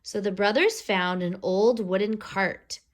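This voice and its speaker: A woman reading a children's storybook aloud expressively, pausing to explain words and pictures to young listeners. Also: mid-range voice.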